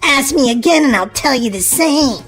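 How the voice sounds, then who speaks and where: A woman voicing a bossy, defiant schoolgirl bully in a storybook reading, with slow, drawn-out taunts. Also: high voice, lively intonation, over music, pitched below the performer's own voice.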